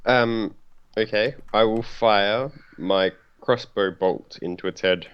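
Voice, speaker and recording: A man's voice: on the low side, takes it slowly, clean tone. A man playing a tabletop roleplaying game, chatting casually on a lo-fi recording.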